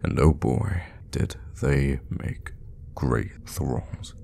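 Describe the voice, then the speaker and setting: A man playing a suave, teasing, sadistic vampire lord in an ASMR roleplay, speaking in an unhurried, theatrical and menacing way with a gravelly voice. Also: sing-song, dark tone, a little hiss or hum, full character voice. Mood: wry, wistful. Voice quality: very deep, gravelly. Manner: unhurried, theatrical, with drops into whispers. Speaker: voice actor in an audio roleplay.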